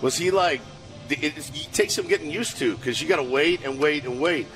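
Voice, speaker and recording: A man's voice: on the high side, normal speed, music in the background, poor audio. American guy co-hosting casual sports radio. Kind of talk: casual interview chat.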